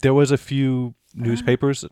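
A man's voice: medium pitch, little pitch movement, a little slow, mellow, clean tone, no room sound, studio quality, natural voice. A man voicing an embarrassed, defensive young woman in a tabletop game. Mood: sheepish.

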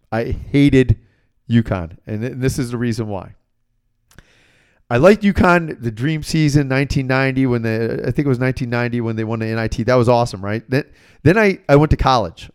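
An American man hosting a sports podcast as a coach, talking casually and bluntly in an animated storytelling way. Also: medium pitch, clean tone. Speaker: sports betting podcast host and basketball coach.

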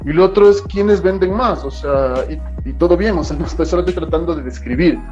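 A man from Ecuador with an Ecuadorian accent, a musician guest in a casual interview, talking in an animated, rambling way full of fillers. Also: on the high side, brisk, dull audio, soft background music. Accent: Ecuadorian Spanish. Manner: animated, rambling, fills with "o sea".

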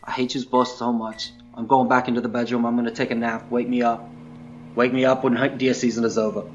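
A man's voice: sits high, big pitch swings, moderate pace, muffled recording, slight background noise. A man playing an exasperated, boastful famous actor on a Bigfoot hunt, complaining in a whiny, incredulous way in improvised comedy. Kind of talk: improvised comedy character monologue and banter.

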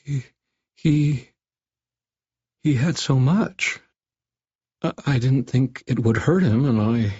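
A man's voice: very high voice, wildly swooping pitch, slow pace, fairly bright tone, dull audio, pitched above the performer's own voice, exaggerated voice. A man voicing a terrified, vain, cringing middle-aged doctor in an audiobook, stammering and pleading as he wails. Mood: cringing, frightened. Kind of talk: audiobook character voice.

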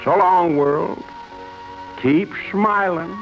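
An actor playing the departing old year as a gentle, reflective old man, speaking in a homespun, fatherly way in a 1950s radio drama. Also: high voice, extremely slow, heavily acted. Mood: wistful farewell. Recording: dull vintage radio broadcast, music behind.

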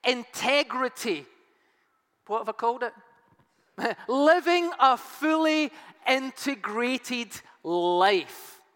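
Slow-talking pastor man with strained voice. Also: very high-pitched, expressive, fairly bright tone. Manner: chatty, self-mocking humor. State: strained, nearly lost voice. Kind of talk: sermon. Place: church hall. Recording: long hall reverb, faint music.

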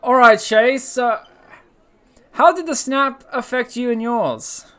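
A man doing a squeaky blustering game show host. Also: slow pace, fairly bright tone, exaggerated voice. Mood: rambling. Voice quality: high squeaky put-on voice with slangy blustering delivery. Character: rambling laid-off cousin hosting a game show, man. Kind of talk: comedic character monologue on a podcast. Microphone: podcast mic.

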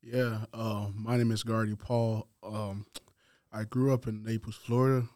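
A man in his twenties from Haiti, a college football player answering interview questions in a soft-spoken, halting way. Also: on the low side, flat delivery, no room sound, studio quality. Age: 20s.

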